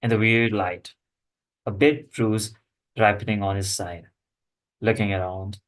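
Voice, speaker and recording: Slow, low-voiced man reading stage directions. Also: steady tone. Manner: halting, fragmented phrasing. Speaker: screenplay table-read participant reading stage directions. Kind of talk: script read-aloud.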